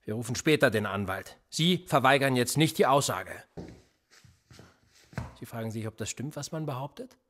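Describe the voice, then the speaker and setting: An actor playing a cynical, sly police interrogator in a radio play, with an insinuating, coolly threatening manner. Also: male voice, medium pitch, moderate pace, long pauses, a bit bright, natural voice. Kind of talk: naturalistic German radio play acting.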